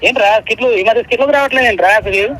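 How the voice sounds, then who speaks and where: A man on a phone call, talking casually in an insistent, excitable way. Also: very high-pitched, rapid-fire. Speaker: stranger receiving a prank call. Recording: phone call with background noise.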